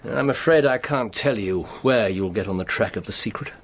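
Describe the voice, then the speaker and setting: An actor playing a senior British official who speaks in a measured, grave way, sometimes dropping to near-whispers, in a radio drama. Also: male voice, on the low side. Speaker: Sir Walter, government spymaster.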